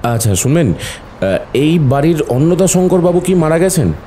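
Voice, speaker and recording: A man acting a calm, patient, shrewd doctor turned detective in an audio drama, measured and mentoring. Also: fairly high pitch, slight background noise. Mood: inquiring.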